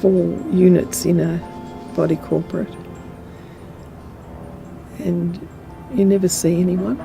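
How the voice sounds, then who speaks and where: A woman's voice: fairly low pitch, flat delivery, slow pace, creaky, over music. An older woman giving a reflective, hesitant personal account in an interview.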